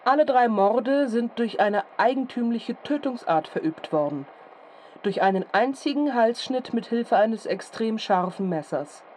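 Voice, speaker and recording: Mellow, grave radio announcer. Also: female voice, fairly high pitch, expressive, measured pace. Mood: solemn, formal. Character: grave, formal female radio announcer reporting murders. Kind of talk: scripted announcement in radio drama. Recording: faint background noise and ambience.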